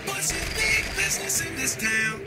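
A smooth talking crude pimp sidekick from a game, music blasting behind him. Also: male voice, extremely high pitch, sing-song, normal speed. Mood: slick, casual. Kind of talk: video game dialogue. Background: loud music.

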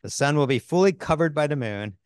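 An older man co-hosting a scripted company town hall in a studio, speaking into a handheld mic with upbeat, quick affirmations. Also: on the high side, lively intonation, clear, resonant tone. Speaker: co-host. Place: studio set in front of a screen.

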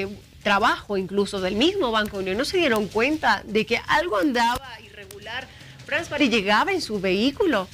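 A woman's voice: mid-range voice, a bit bright. A young woman, hosting a morning TV show and asking pointed questions in a studio. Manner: brisk, probing questions. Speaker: TV news host.

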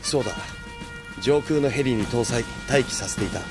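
A man's voice: mid-range voice, moderate pace, over music, lightly acted. Man as stern, clipped young soldier. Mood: businesslike. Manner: clipped, military, curt. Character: stern, humorless young male soldier, a sergeant. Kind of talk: Japanese audio drama acting.

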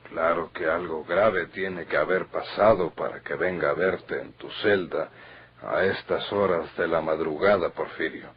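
A grave, steady Mexican confidant in a vintage radio serial. The voice is deep and very husky, with dulled old-recording sound. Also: male voice, measured pace, muffled recording, exaggerated voice. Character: grave, steady older male confidant bringing bad news.

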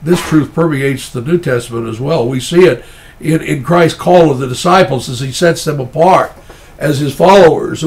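A middle-aged male pastor delivers a sermon with a congested, stuffy sound. His voice sits slightly high with a gravelly rasp. He speaks earnestly and deliberately, circling back and restarting phrases. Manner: earnest, measured preaching with frequent restarts. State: stuffy nose.

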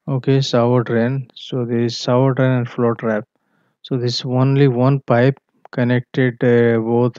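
Male technical tutor, unhurried and monotone, halting delivery full of fillers, dull dry lo-fi voice-over. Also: mid-range voice, no echo. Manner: halting, many fillers and self-corrections. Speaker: MEP engineering tutor. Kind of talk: screen-share drawing walkthrough.

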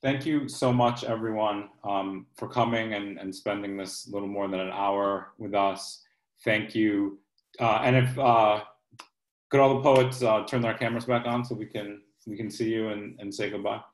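A man's voice: fairly low pitch, steady tone, normal speed. A man in his forties hosting an online poetry reading from his home, speaking on a video call. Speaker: poet and series host. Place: home kitchen, indoors. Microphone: laptop at arm's length, video call. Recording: roomy, echoey video call sound.

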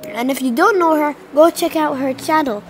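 A woman's voice: high-pitched, moderate pace. A young teenage girl with a slight cold, chatting to viewers while playing a video game. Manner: chatty, rambling, addresses viewers directly. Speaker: gaming channel co-host. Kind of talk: casual gameplay commentary. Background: game music.

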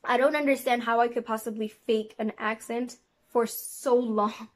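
A woman's voice: a little high, normal speed. A young woman from South Africa with a South African accent and an American twang, telling a story casually to camera in a small room. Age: young adult. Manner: animated, gestures a lot, slips into quoted voices. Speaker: vlogger. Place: small indoor room against a plain wall.

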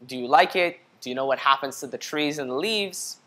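A man's voice: on the high side, brisk, bright, forceful tone. A young man hosting a children's read-aloud, speaking directly to young listeners and putting questions to them. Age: young adult. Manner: clearly paced, addressing young listeners directly. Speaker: picture book reader for children. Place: indoor studio set. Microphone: lapel mic.

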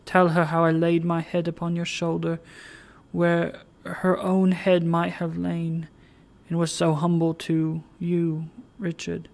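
A reader voicing a sorrowful fallen young woman, speaking in a soft, imploring way. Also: mid-range voice, monotone, takes it slowly, gravelly.

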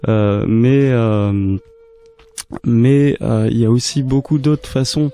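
Male radio guest, thirties, soft mellow tone, searching for his words, close studio mic. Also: mid-range voice, takes it slowly. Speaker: guest, wellness fair organiser. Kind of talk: radio interview answers. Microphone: close studio microphone, headphones.

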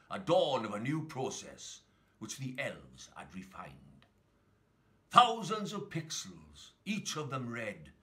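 A man reading a rhyming children's story in a theatrical, expressive storytelling manner. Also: mid-range voice. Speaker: story reader.

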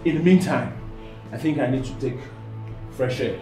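A man's voice: very high-pitched, big pitch swings, normal speed. Slick nigerian conman guy, indignant, voice jumps around a lot, music under. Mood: smug, evasive. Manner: evasive, wheedling, indignant. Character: slippery, indignant male conman protesting his innocence. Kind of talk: screen drama acting.

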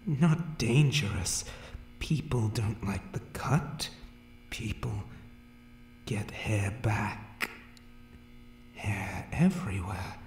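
A man's voice: fairly low pitch, a little mellow, echoey room, a little hiss or hum. A man voicing a monstrous, obsessive barber creature in whispered, broken, repetitive speech for a horror ASMR roleplay. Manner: broken, clipped speech with repeated words. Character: monstrous male barber creature from dark places, obsessed with cutting.

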